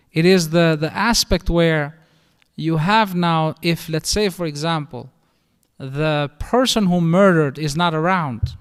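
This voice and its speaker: Middle-aged Albanian-accented male imam, somewhat high and smooth-voiced, explaining patiently in a reverberant mosque hall. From northern Albania. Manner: explanatory, conversational teaching with restarts. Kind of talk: religious lecture.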